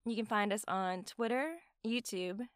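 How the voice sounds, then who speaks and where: A woman's voice: mid-range voice, measured pace, clean tone, dry recording, clean studio sound. A woman giving a friendly scripted outro as the creator of an audio drama.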